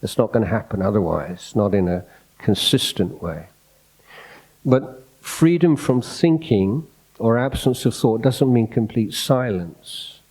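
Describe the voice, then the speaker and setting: A soft-spoken man, a Buddhist monk, giving an unscripted dhamma talk in a hall, reflective and hesitant, restarting his phrases often. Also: lowish voice, expressive, measured pace, slightly rough. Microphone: microphone in a hall.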